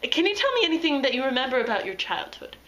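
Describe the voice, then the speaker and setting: A woman's voice: sits high, sing-song, quick pace, fairly bright tone, a little hiss or hum. A woman playing a pompous, self-absorbed male psychoanalyst in an amateur comedy skit, clinical and easily flustered. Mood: analytical. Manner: clinical, self-important, flustered stammering when challenged. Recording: roomy echo, distant microphone.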